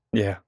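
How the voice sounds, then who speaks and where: A man playing a shy, devoted chef boyfriend in an intimate audio roleplay, speaking in a halting, self-deprecating, affectionate way. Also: a little mellow, dry recording, studio quality. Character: Beau, warm, shy, flustered male chef and devoted boyfriend.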